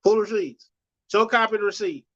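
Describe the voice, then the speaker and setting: A man with a high-pitched, squeaky voice, co-hosting a casual podcast chat and weighing in slowly and deliberately. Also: fairly bright tone, highs cut off. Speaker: podcast co-host.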